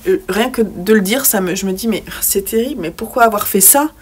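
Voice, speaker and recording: A woman's voice: medium pitch, a little fast, slightly rough. A young woman talking through a poetry book she read, hesitating often with fillers and restarts, and now and then reading passages aloud. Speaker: book vlogger. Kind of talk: casual book review with read-aloud poems.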